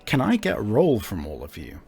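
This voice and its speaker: A man narrating a tabletop game as game master, improvising haltingly and searching for his words. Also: fairly low pitch, huge pitch swings, gravelly, darkish tone, faint music behind.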